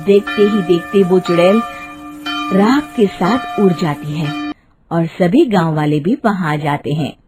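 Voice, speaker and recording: A woman narrating a scripted horror story in a deliberate storybook manner. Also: fairly low pitch, music in the background.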